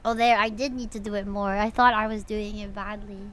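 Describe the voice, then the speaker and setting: A woman livestreamer chatting casually with her viewers in an expressive, playful way while she plays a story game. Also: medium pitch, a little fast, slight background noise. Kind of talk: casual livestream chat mixed with reading game dialogue aloud.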